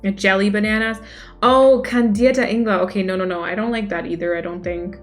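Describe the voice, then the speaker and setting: A young woman chats casually with her stream audience, reacting to messages as they come in. Her voice sits at a middle pitch for a woman. Soft music plays quietly underneath. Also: steady tone, fairly quick. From Denmark. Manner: chatty, reacts aloud to chat. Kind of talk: casual chat reading viewer messages. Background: faint music.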